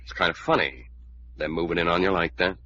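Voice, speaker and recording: A bitter invalid bullying from his sickbed in an old radio thriller. His voice is low, hard and forceful, and his talk is gruff, blunt and slangy. The recording is muffled with a faint layer of noise. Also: brisk. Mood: bitter, resentful. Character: bitter bedridden invalid man, gruff bullying older brother. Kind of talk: 1940s radio drama acting.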